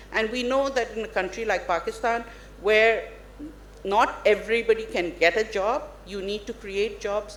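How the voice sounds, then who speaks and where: A woman speaking on a conference panel, earnest and persuasive, pausing to think as she talks. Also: mid-range voice. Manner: earnest, persuasive, thoughtful with pauses. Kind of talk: panel discussion. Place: conference hall. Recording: echoey conference hall with faint noise.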